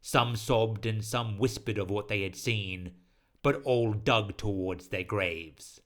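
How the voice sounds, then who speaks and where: Bright, forceful male horror narrator. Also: on the low side. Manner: solemn, deliberate, literary storytelling. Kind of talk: scripted dramatic audio story narration.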